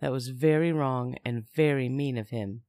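A man's voice: mid-range voice, moderate pace, darkish tone, no echo, natural voice. A protective young mother, read by a male narrator in an audiobook story. The delivery is restrained and earnest, in an everyday voice. The sound is dry and close. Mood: soothing.

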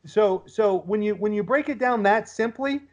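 A man's voice: sits high, normal speed, darkish tone. A man speaking as a podcast guest in casual, opinionated discussion, using rhetorical questions and mimicked quotes to argue his points. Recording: dull lo-fi audio.

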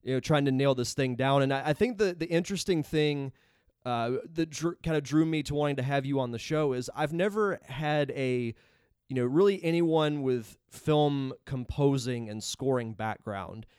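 A man's voice: mid-range voice, normal speed, darkish tone, clear, resonant tone, no echo. A man hosting a podcast in a conversational interviewing style, speaking close to the microphone. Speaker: podcast host.

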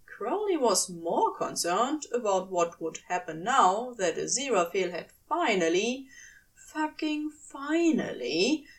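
A woman reading a story aloud as expressive scripted narration, dramatic and deliberate with pauses for emphasis. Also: on the high side, distant mic. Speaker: fan fiction audiobook reader.

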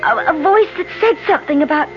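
An actress playing a frightened, exhausted woman who pleads haltingly and emotionally in a 1970s radio drama. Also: extremely high pitch, expressive. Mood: confused, panicked. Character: frightened, exhausted woman lost in a nightmare forest. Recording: dull vintage radio with loud music.

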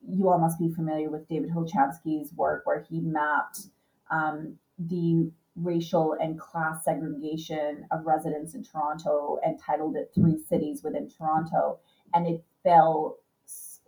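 Female panelist, researcher type, soft dark tone, slightly distant from the mic, reflective and hedging. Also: low-pitched.

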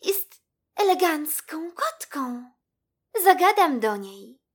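A woman's voice: high-pitched, big pitch swings, slow, pitched above the performer's own voice, heavily acted. A woman voicing a sweet, sensitive little girl mouse in a children's story, with an earnest, childlike manner. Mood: admiring, friendly. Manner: soft-hearted, childlike, earnest.

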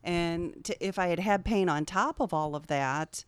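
A woman's voice: on the low side, a little slow, clear, resonant tone, no room sound. A middle-aged woman talking candidly and informally as a guest in a conversational podcast interview. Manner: candid, informal, swears casually. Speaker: podcast guest, social worker.

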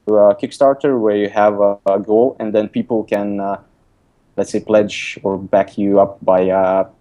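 A young man from Lithuania, speaking English as a second language, answers questions at a hesitant pace, full of fillers and restarts. His voice sits low with a flat, even pitch and a dark, mellow tone. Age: young adult. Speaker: guest, app designer and author. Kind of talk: casual interview talk. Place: recording remotely from abroad.

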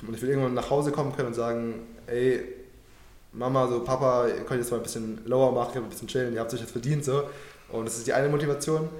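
A man's voice: medium pitch, steady tone, quick pace, darkish tone, roomy sound. A young man born in Germany, talking casually and earnestly in an interview, thinking aloud with loose slangy phrasing and many fillers. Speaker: guest.

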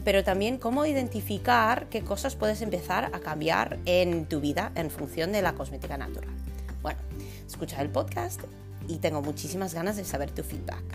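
A woman hosting a podcast, introducing her guest and asking friendly, curious questions. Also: on the low side. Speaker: podcast host. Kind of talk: podcast interview.

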